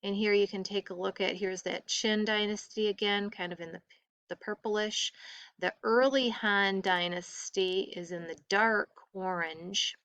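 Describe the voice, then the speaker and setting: Female teacher for classroom read-aloud: bright, forward tone, dry close sound, deliberate emphasis. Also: medium pitch, fairly even intonation, no echo.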